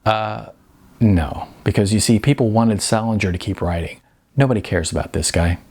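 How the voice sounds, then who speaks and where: A man's voice: fairly low pitch, steady tone, moderate pace, darkish tone. A man voicing a sarcastic, argumentative young record store clerk in an audiobook, a music snob with little patience. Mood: dismissive.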